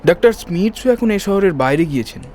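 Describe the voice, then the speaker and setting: Young surgeon, slightly high voice, polite earnest informant, faint ambience, detective radio play. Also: male voice, expressive, fast, darkish tone, slight background noise. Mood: earnest, confiding. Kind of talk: dramatised detective audio story acting.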